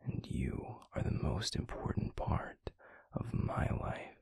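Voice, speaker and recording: A man playing a tender, protective werewolf boyfriend in an intimate ASMR roleplay, speaking in a breathy, gravelly, soothing whisper. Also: dry recording. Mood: tender, reassuring. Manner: soothing, slow, gently coaxing. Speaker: audio roleplay voice actor.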